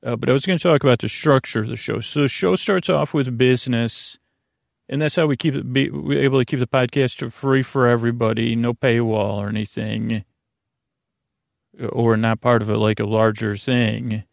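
Creaky guy rambling on a sleep podcast, keeps stumbling and cutting himself off, close dry sound. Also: mid-range voice, fairly even intonation, no echo. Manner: meandering, halting, often self-interrupting. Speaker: podcast host.

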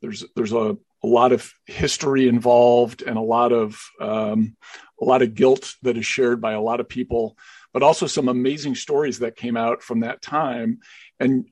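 Male podcast host; gravelly, husky voice; close, dry recording. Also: medium pitch, darkish tone, dry recording. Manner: repeats words when starting sentences. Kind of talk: podcast interview hosting.

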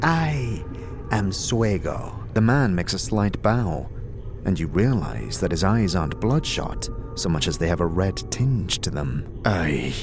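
A man reading scripted second-person narration for a fantasy audio drama. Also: low-pitched.

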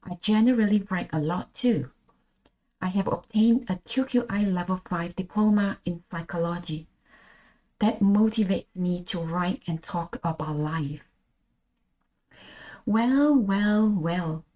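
A woman's voice: fairly low pitch, measured pace, dark tone, low-quality recording. A middle-aged woman from Malaysia with a Malaysian English accent, hosting a scripted solo podcast in an earnest, read-aloud manner. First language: Bahasa Malaysia. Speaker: podcast host.

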